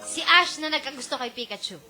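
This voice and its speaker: A woman's voice: high-pitched, animated, fairly quick, bright, forceful tone, faint music behind. A Filipino woman radio co-host bantering casually in a teasing manner. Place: radio studio.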